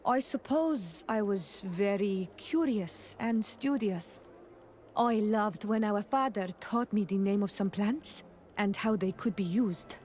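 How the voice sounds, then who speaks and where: An actress playing an earnest, gentle young island tribeswoman in a video game, speaking in measured, formal phrases. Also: mid-range voice, slight background noise, natural voice. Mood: gentle, warm. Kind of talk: video game romance dialogue acting.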